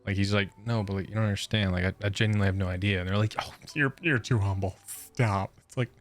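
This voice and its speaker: A man's voice: lowish voice, steady tone, fast talker. A man chatting casually and jokingly as he reacts to a game, with slangy asides. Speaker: gaming streamer.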